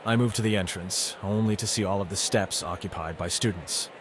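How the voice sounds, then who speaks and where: A man's voice: deep voice, brisk, background noise. A man reading first-person scripted narration in a brooding, sardonic manner. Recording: music under the voice. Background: music.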